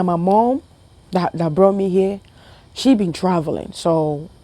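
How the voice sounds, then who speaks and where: A young woman from Ghana telling a funny personal story to her viewers in a casual, animated way, often repeating herself and laughing. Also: on the low side. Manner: animated, repetitive, laughing, talks to viewers as family. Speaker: vlogger.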